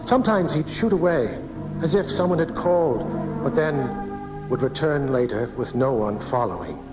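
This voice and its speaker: A man narrating a 1980s radio horror drama in a deliberate, dramatic way, recorded off the air. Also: mid-range voice, lively intonation, music in the background, rough recording. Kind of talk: slow, eerie horror storytelling for 1980s radio.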